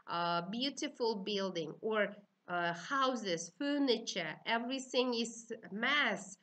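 A middle-aged woman with an Uzbek accent, lecturing to trainee teachers in simple, halting, instructive phrases. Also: mid-range voice, sharp tone. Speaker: university teaching-methods lecturer.

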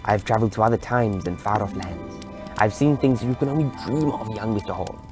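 A man acts out an eccentric old gentleman in an audio story, genteel and endlessly curious. He muses courteously, with little hesitant interjections, over a music bed. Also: on the low side, brisk, natural voice. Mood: enigmatic, wistful. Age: older.

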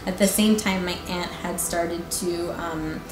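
A woman in her thirties from Canada telling a personal story in a casual, halting way, with lots of filler phrases. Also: lowish voice, fairly even intonation, over music. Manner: informal and halting, peppered with "like" and "you know". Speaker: guest giving a personal testimony. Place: indoor room with fireplace, seated in armchair. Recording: roomy echo with faint background noise.

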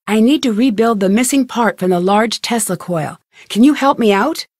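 A woman's voice: fairly high pitch, normal speed, darkish tone, no room sound, studio quality, lightly acted. A woman voicing a young woman detective in game voice lines, asking earnest, inquisitive questions in a polite tone. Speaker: voice actor. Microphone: studio mic, dry.

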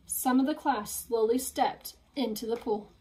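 Young woman teacher doing a kids read-aloud, high voice, slow pace. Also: mellow, slight background noise. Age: young adult. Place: small room at home, indoors.

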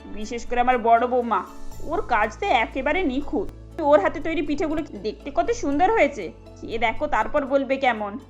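A woman's voice: high-pitched, animated, normal speed, soft background music. A woman voicing a proud, stern middle-aged mother-in-law in a cartoon family story, with an authoritative manner.

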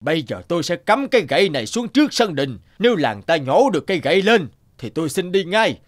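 A man's voice: a little high, very animated, quick pace, dry recording, clean studio sound. A man playing a shrewd, righteous old village man with magical powers in a cartoon, declaiming and admonishing. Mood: righteous, stern. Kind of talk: cartoon voice acting.

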